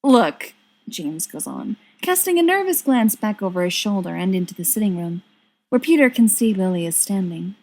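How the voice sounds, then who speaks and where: A woman reading fiction aloud as an audiobook narrator, in an expressive scripted style. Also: mid-range voice, normal speed. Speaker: audiobook reader.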